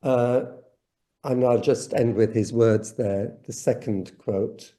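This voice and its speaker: A man delivering a keynote over a video call, his voice deep-toned and mellow. He lectures in an enthusiastic, off-the-cuff way and often trips into restarts. His pitch sits in an ordinary middle range for a man. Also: steady tone, slow. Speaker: keynote speaker. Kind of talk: academic conference presentation. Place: home or office, online video call. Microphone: computer mic on a video call.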